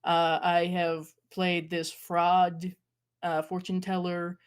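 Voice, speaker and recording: A woman's voice: on the low side, monotone, slow pace, smooth. A young woman, a guest talking about her acting, speaking hesitantly with many fillers and small laughs. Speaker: guest, horror creator and acting student. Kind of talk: casual interview chat.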